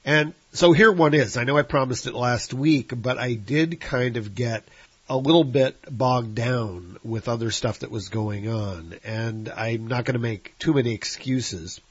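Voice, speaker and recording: A man's voice: mid-range voice, a little slow, breathy edge, dry recording. A man with a Midwestern American accent hosting a solo podcast, sounding nasal and stuffed up from his sinuses, rambling with fillers and restarts in an apologetic way. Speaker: podcast host. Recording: dry, dull lo-fi recording.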